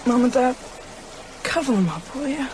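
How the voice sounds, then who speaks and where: A woman's voice: high-pitched, expressive, normal speed. A woman voicing a young boy numbed by grief in an audio drama, speaking in a subdued, grave way. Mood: numb, grieving. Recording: clear rain-like noise behind voice.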